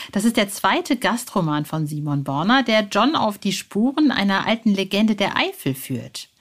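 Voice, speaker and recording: A woman presenting a podcast as host, speaking directly and personally to her listeners. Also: mid-range voice. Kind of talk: podcast moderation, addressing listeners.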